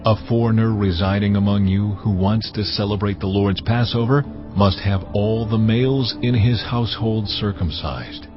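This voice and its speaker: An actor voicing God, delivering measured, authoritative decrees. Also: male voice, on the low side, natural voice. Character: solemn, commanding voice of God. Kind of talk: dramatized scripture reading. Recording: dull audio over music.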